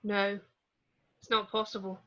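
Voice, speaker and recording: A woman's voice: mid-range voice, flat delivery, measured pace, sharp tone, lo-fi sound. A woman playing a wry, blunt teenage boy wizard in an amateur table read. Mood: alarmed.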